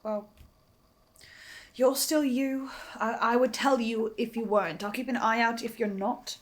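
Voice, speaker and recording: A woman's voice: fairly high pitch, steady tone, unhurried, natural voice. A woman playing a nervous, earnest, apologetic researcher in a naturalistic audio drama, stammering and repeating words. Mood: reluctant. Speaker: host of a listen-along show.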